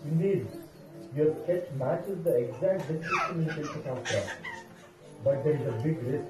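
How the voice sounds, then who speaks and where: A man playing an expressive, theatrical village sculptor of deities in an amateur stage drama. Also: on the high side, moderate pace, close to an everyday voice. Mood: grave, helpful. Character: animated village deity sculptor, eager then fearful. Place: temple hall stage. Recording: muffled, echoey hall, distant mic, faint music.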